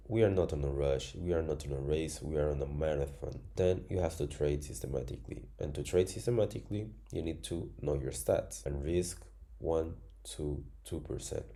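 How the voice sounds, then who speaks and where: A man's voice: rumbling low, dark tone. A man in his twenties, a native Spanish speaker from Cuba, earnestly explaining a trading system in plain, imperfect English. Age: 20s. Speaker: trading educator. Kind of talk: screen-share tutorial.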